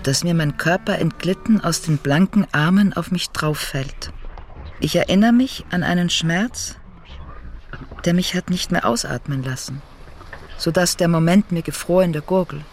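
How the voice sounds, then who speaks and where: An actor playing a grown child who confronts their mother in a literary radio monologue, with deliberate, repetitive phrasing. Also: mid-range voice, a little hiss or hum, lightly acted. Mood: probing, haunted.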